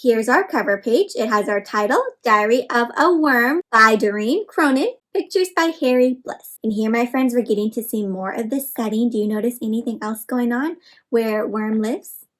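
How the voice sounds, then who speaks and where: A woman's voice: on the high side, normal speed. A woman hosting an interactive read-aloud for children, speaking expressively and instructively and often putting questions to her young listeners.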